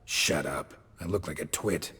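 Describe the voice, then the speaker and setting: An actor playing a gruff, grumpy monster hunter in a video game, terse, blunt and deadpan. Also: male voice, low voice, a little slow, in a large hall, full character voice. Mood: irritated. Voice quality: gravelly, husky.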